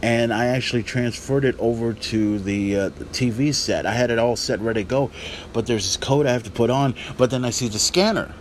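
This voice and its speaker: A man's voice: mid-range voice, a little fast. Casual man podcasting over background noise. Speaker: wrestling podcast host. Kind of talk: casual, rambling solo podcast commentary.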